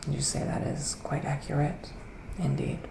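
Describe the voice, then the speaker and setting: Gentle male psychic witch, acted by a man, soft ASMR delivery drifting into whispers, gravelly fry, roomy noisy recording. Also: fairly high pitch, little pitch movement. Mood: soothing, pensive. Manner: hesitant, drawn-out phrasing with pauses. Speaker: ASMR performer. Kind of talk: soft-spoken ASMR roleplay, partly whispered. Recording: roomy echo, clear background noise.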